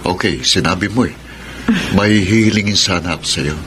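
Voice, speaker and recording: A man's voice: sits low, normal speed, noisy background, close to an everyday voice. A man acting a hopeful, lovestruck young suitor in a Filipino radio drama, sentimental and pleading, with florid earnest delivery. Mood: earnest, tender. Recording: radio drama with music underneath.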